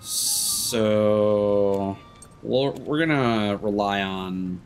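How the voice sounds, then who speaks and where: A man's voice: lowish voice, very slow, soft background music. A man livestreaming a video game and chatting casually with viewers, hesitant and rambling, often trailing off. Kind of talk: casual chat with stream viewers.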